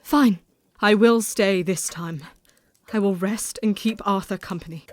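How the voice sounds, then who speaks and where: An actress as a young Victorian gentlewoman with a medium-pitched voice. She is proud and firm, choosing formal words in a period audio drama. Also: measured pace, close to an everyday voice. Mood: stiff, resigned.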